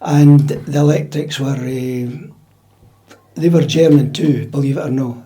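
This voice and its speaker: An older man with a Scottish accent recalling his life in a measured, matter-of-fact conversational way. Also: mid-range voice, steady tone, mellow. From central Scotland. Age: older, about 80. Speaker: interviewee, piping-band drummer. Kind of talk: conversational life-story recollection. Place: indoors at home.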